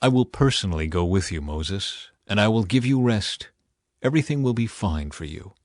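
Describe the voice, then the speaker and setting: A man's voice: on the low side, animated, normal speed, dry recording, close to an everyday voice. A grave, commanding God, voiced by a male reader, speaks in an audio scripture. The delivery is solemn and authoritative, in a very dry recording. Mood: solemn assurance.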